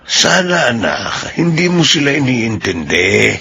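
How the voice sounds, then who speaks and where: A man's voice: medium pitch, very animated, a little slow, gravelly, bright, forceful tone, breathy edge, full character voice. A man acting an aging, weary, caring Filipino father in a radio drama, grave and admonishing toward his grown children. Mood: weary, disappointed. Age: older.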